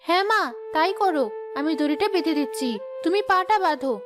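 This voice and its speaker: Very high, eager village girl voiced by a woman. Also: brisk. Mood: eager, amazed. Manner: dutiful, eager. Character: eager, obedient young village daughter. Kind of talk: cartoon story acting. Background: music.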